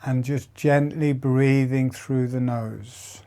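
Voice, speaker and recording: Male meditation guide, slow and steady, low-key even delivery, mellow tone. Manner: slow, soft, halting phrases with long pauses. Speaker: meditation teacher.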